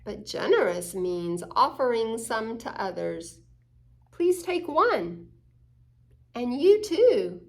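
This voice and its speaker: A woman with a fairly high voice reads aloud for young children. She takes her time, very slowly and deliberately shaping each phrase, acting out quoted lines and explaining words simply as a story time host. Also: sing-song, creaky. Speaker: story time host reading a picture book. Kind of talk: expressive children's read-aloud with asides to listeners.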